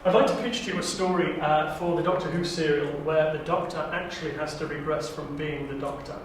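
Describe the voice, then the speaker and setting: A man's voice: fairly high pitch, fairly even intonation, a little fast. Man chatting in a very echoey room. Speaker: auditioning actor and amateur film maker. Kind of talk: casual chat at an audition. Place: echoey indoor university room. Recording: very echoey room, distant mic, faint noise.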